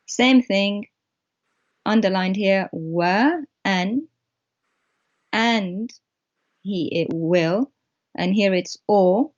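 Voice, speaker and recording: A woman whose first language is Arabic, a guest explaining text on screen in a halting way with many pauses. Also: mid-range voice, lo-fi sound. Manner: halting, pointing things out.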